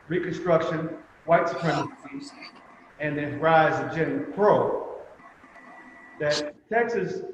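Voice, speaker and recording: Echoey higher-voiced man giving a speech. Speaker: museum representative giving remarks. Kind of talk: prepared speech at a virtual commemoration. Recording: very echoey reverberant room, muffled, faint noise.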